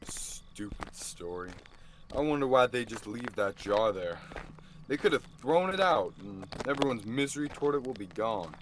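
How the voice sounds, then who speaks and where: Young man as animated, skeptical everyman. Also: mid-range voice, normal speed, noisy background, lightly acted. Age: young adult. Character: easygoing, skeptical, kindly married everyman. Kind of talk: radio theatre acting.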